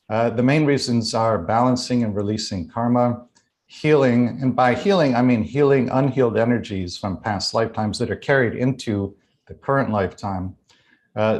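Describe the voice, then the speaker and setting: An American man giving an explanatory spiritual talk as a guest author, speaking in a measured, deliberate and articulate way. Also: lowish voice. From United States.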